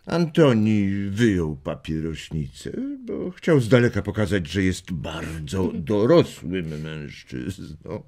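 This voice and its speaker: A man playing an amused old grandfather telling stories to children in an audio drama, unhurried, chuckling and expressive. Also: low-pitched, full character voice. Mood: amused storytelling. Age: older. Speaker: storyteller.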